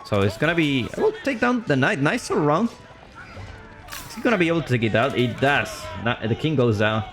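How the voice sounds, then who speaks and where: Excitable man casting a strategy game. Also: brisk. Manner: excitable, voice jumps high on big plays, then calm explaining; loose and chatty, with fillers and restarts. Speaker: streamer casting a match. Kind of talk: live strategy game commentary.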